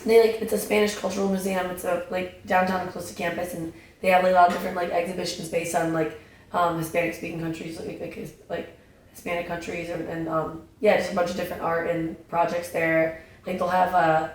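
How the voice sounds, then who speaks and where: A young woman talks through a casual podcast chat, her slightly raspy voice full of fillers and restarts. She keeps a fairly flat, even delivery. The recording is very echoey, with the mic set well back and a faint hiss behind. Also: fairly low pitch, a little fast. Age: young adult. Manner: chatty, many fillers and restarts. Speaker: podcast guest. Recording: echoey room, distant mic, faint noise.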